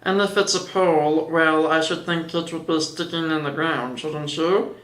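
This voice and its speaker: Man as confident male storybook rabbit: matter-of-fact, fairly high everyday voice, roomy. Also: steady tone, moderate pace, fairly bright tone, natural voice.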